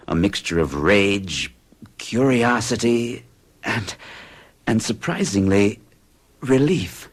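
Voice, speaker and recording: An actor playing an anxious traveler who recounts strange events to a doctor in a radio drama, with a husky, agitated delivery. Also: male voice, low voice. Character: anxious American traveler narrating his ordeal. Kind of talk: 1970s radio drama acting.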